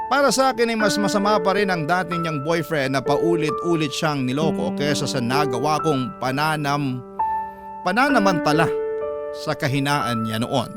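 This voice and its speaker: A man with a Filipino accent reading a first-person letter dramatically as a radio storytelling host. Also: fairly high pitch. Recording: loud music under the voice.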